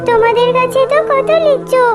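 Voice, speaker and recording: A tiny, extremely high-voiced cartoon fox girl, innocent and eager. She chatters and pleads like a small child, with music clearly playing behind her. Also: fairly even intonation, normal speed. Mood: pleading, meek. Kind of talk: Bengali children's cartoon voice acting.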